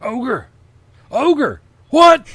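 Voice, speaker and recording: A man voicing a frantic, panicked male professor, loud and shrieking, in a comic story reading. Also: very high voice, very animated, extremely slow, husky, no room sound, pitched above the performer's own voice, full character voice.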